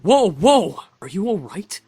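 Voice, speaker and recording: A man voicing a hot-headed, protective young demon in a visual novel, blunt and expressive. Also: high-pitched, measured pace, husky, faint music behind, exaggerated voice. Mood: alarmed.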